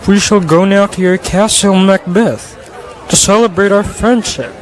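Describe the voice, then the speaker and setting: A young man playing a slow, stately old king. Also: high-pitched, a faint crowd, rough recording. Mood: gracious. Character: gracious aging male king, warm toward his loyal thane. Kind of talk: student radio drama acting.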